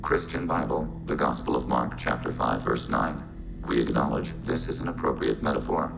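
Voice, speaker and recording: A calm synthetic machine intelligence, that speaks as many minds at once in a flat, even drone. The voice sits extremely low and is heavily gravelly. A score plays clearly behind it in this game soundtrack. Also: male voice, normal speed, over music, full character voice, rough recording. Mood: calm, literal. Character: Geth machine platform, calm, collective, literal. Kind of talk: video game cutscene acting.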